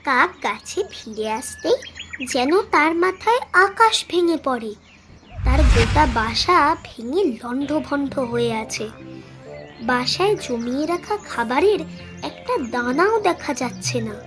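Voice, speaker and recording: High, animated woman narrator. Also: unhurried, over music. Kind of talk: scripted children's story narration.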